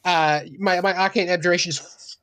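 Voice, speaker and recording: Tabletop game player, mid-range voice, careful and halting while reading out rules, very dry sound. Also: medium pitch, steady tone, fairly bright tone, clear, resonant tone, no echo. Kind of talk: casual game talk, explaining rules.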